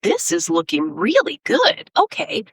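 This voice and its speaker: A woman's voice: high voice, wildly swooping pitch, a little slow, dry recording, studio quality. A woman speaking short, simple test phrases. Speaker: voice changer output.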